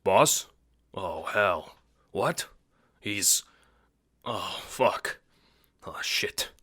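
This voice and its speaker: Male actor as gruff oversized henchman, low voice, unhurried, folksy, simple, friendly, audio drama. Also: animated, sharp tone, heavily acted. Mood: panicked. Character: big gruff friendly bear-like henchman, an insectoid guard.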